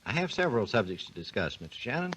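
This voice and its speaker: A man, a research engineer and guest, explains his subject in a measured, lecturing way on a scripted 1940s radio talk program. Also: medium pitch, very animated, fairly bright tone, a little hiss or hum, poor audio.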